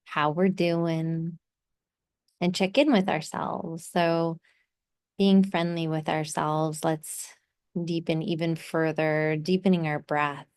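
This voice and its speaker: A woman leading a guided meditation in a soothing, gently instructive way, pausing between short phrases. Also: low voice, flat delivery, clean tone.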